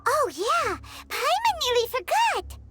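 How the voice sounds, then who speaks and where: A woman voicing a tiny childlike fairy companion in a video game, squeaky, expressive and a little pouty. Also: slow pace, music in the background, heavily acted. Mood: chatty. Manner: refers to self in third person, expressive. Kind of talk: video game character dialogue.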